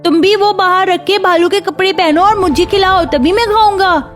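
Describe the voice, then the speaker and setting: Woman voicing a very high-pitched spoiled little boy. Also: normal speed, soft background music. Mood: bossy demanding. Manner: whiny, insistent. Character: spoiled, demanding little boy, whiny and bossy. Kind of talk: children's cartoon dubbing.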